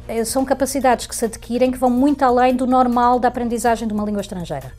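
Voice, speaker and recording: A woman's voice: a little high, quick pace, darkish tone, soft background music. Composed woman organiser. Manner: composed, articulate. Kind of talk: interview answers.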